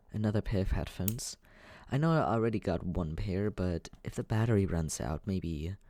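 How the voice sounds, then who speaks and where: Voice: mid-range voice, warm tone, no echo, natural voice. An actor voicing a teasing but caring young companion in an intimate, conversational ASMR comfort roleplay, hesitant and reassuring.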